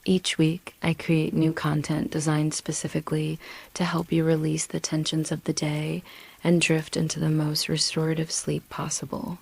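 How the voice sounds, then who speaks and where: Female sleep-story narrator: low, flat-toned, soothing and hushed, whispery at phrase ends, guiding the listener gently. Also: moderate pace, vocal fry, breathy edge. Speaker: host and narrator of sleep meditation stories. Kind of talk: slow scripted sleep meditation narration.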